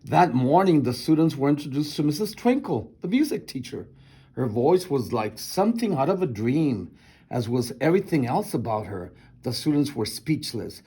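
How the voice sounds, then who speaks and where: Male storybook reader, expressive narration with a raspy, creaky voice. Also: medium pitch. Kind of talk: expressive children's read-aloud narration.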